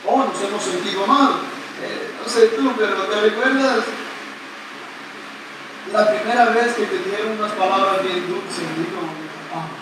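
A priest with a fairly high voice delivers a playful, joking sermon to a big congregation. He sounds distant and heavily echoey, picked up by a camera far back under an open-air covered pavilion, with clear background noise throughout. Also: normal speed. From not Puerto Rican. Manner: playful, joking with the congregation, leads call-and-response. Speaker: priest preaching. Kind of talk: sermon at a retreat Mass.

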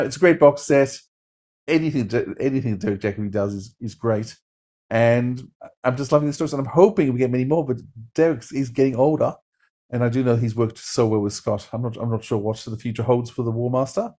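A middle-aged man with a soft, mellow tone and medium pitch reviews things casually over a video call. He is enthusiastic, often halting as he goes. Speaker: podcast co-host reviewing audio dramas. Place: home room, on a video call.

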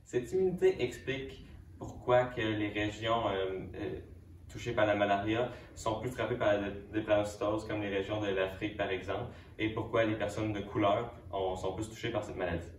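A man's voice: fairly low pitch, moderate pace, a little hiss or hum. A young man student giving a science fair presentation, hesitant, with fillers and restarts. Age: young adult. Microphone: far camera. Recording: echoey room from far off.

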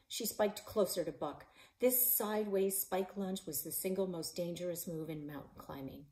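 A woman in her forties, a librarian and author, reading her children's novel aloud in a living room, voicing the characters with shouts and whispers. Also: on the low side, normal speed. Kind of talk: children's book read-aloud. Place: living room in front of a stone fireplace.

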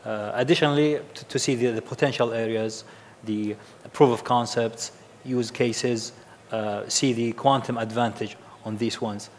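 A middle-aged man answering as a practitioner on a conference panel, haltingly and repeating words as he goes. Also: medium pitch, steady tone. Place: on stage in a large conference hall. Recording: reverberant hall with background noise.

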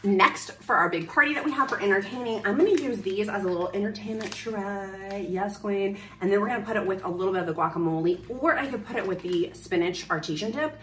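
A woman's voice: medium pitch, fast, hardly any pauses, fairly bright tone, a little hiss or hum. A woman in her thirties gushing through a grocery haul vlog, bubbly and upbeat, filmed on a handheld phone. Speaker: vlogger. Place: grocery store aisles, then home.

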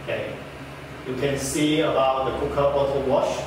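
A young man presents a product walkthrough to a room. He pauses often with "uh" fillers and uses phrasing. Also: mid-range voice, level tone. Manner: halting, frequent "uh" fillers, non-native phrasing. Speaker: product trainer. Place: indoor meeting room with projector screen. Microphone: far camera. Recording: echoey room from far off, background noise.

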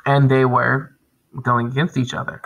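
A man's voice: mid-range voice, flat delivery, moderate pace, creaky, a bit bright, rough recording. A man in his thirties teaching a reading lesson to children, explaining and reading aloud with emphasis. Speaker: elementary school teacher.

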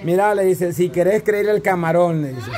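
A man's voice: high-pitched, fairly quick, darkish tone. A man with a Salvadoran accent hosting a casual group chat, teasing and pressing with repeated questions. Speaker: host of a group vlog. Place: covered open-sided yard with a crowd.

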